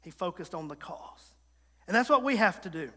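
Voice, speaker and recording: A man's voice: high-pitched, lively intonation, normal speed, bright, forceful tone. A man preaching a sermon in his thirties, emphatic and repetitive, tossing short call-and-response asides to the congregation. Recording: long reverb of a large hall.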